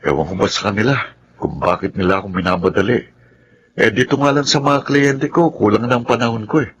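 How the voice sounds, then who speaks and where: A man's voice: fairly low pitch, a little fast, highs cut off, noisy background, close to an everyday voice. An actor with a Filipino accent playing an earnest, patient bachelor lawyer in his thirties in a radio drama. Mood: calm explaining. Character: earnest 32-year-old bachelor lawyer, patient, devoted to work. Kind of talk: Filipino radio drama acting and first-person narration.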